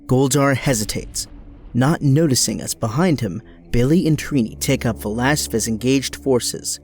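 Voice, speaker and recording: A man's voice: medium pitch, normal speed, a little mellow, faint music behind. A man giving dramatic scripted narration as the narrator of an action audio drama.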